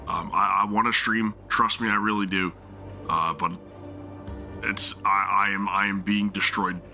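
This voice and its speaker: A man's voice: deep voice, steady tone, a little slow, vocal fry, pushed and bright, soft background music. A man streaming a game live, chatting casually while his sore throat makes him lose his voice, rambling and apologizing often. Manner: apologetic, rambling, many restarts.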